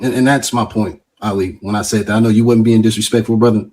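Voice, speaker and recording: A man's voice: lowish voice, steady tone, quick pace, darkish tone. A man debating on a panel, insistently restarting his points to hold the floor. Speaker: panel guest.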